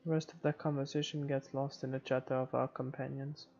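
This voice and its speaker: A man narrates a visual novel for a game playthrough. His voice is creaky and his read-aloud delivery is informal and a little halting. The sound is slightly muffled, with music underneath. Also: mid-range voice, flat delivery, a little mellow. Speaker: video game let's player.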